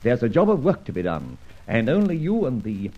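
A man playing a suave, wry gentleman adventurer in an old radio drama, urbane and wordy, with a drily witty manner. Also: medium pitch, huge pitch swings, fairly quick. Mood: brisk, amused. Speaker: narrator and lead actor. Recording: dull old radio recording.

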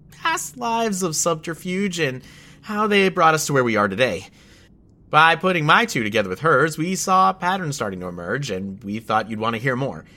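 A man's voice: fairly high pitch, bright, forceful tone. A man acting a friendly, digressive anarchist botanist in a sci-fi audio drama, wordy and jovial.

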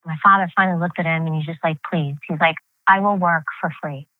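A reflective woman, recounting memories in a candid, rambling way. Her voice sits on the low side and comes through a thin, phone-like line with no room sound. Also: fairly even intonation, quick pace, dry recording. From New York, United States. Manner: candid, reflective, frequent fillers and restarts. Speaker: guest. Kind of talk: personal storytelling in an interview. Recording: tinny phone-like line, dry.

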